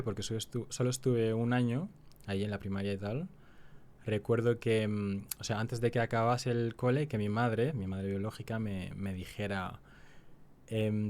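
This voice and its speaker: A man's voice: fairly low pitch, darkish tone. A young man from the Canary Islands, a guest on a podcast, telling his life story in a reflective, hesitant way. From Canary Islands, Spain. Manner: hesitant, reflective, restarts phrases. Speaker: guest, actor and writer. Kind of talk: conversational storytelling.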